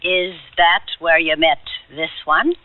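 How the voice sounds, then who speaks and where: An actress playing a friendly, nosy, teasing drive-in waitress in a radio drama, breezy and familiar, on a tinny recording. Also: female voice, fairly low pitch, fairly even intonation, slow, no echo. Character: friendly, nosy, wisecracking female drive-in car hop.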